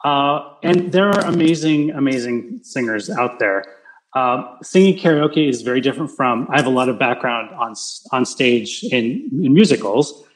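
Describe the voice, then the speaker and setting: A man with a loud voice answering interview questions casually, with many restarts and fillers. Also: medium pitch, expressive, poor audio. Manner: halting, full of restarts and fillers. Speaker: interview guest. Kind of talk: casual video-call interview.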